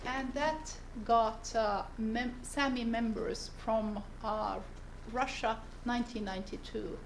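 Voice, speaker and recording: Brief: woman from northern Finland, seminar speaker, gravelly and fairly high voice, unhurried delivery with searching pauses. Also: fairly even intonation, a little hiss or hum. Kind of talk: seminar presentation. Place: seminar room.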